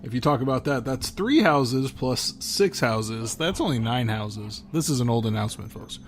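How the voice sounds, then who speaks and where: A man's voice: mid-range voice, lively intonation, moderate pace, slight background noise. A man hosting a podcast in casual, joking chat, hesitant and restarting his sentences. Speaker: podcast host.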